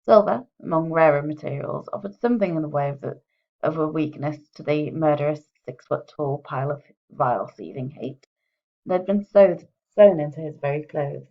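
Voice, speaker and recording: A woman's voice: deep voice, normal speed. A woman author presenting and reading her own work in a wry, theatrical manner. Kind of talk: chatty introduction, then expressive audiobook-style reading. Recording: dull, muffled home recording.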